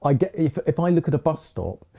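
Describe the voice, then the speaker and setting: A man's voice: a little high, big pitch swings, a little fast, gravelly, dark tone. A man hosting a casual podcast chat, joking at his own expense and chuckling often. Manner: jokey, self-mocking, chuckles often. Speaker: podcast host.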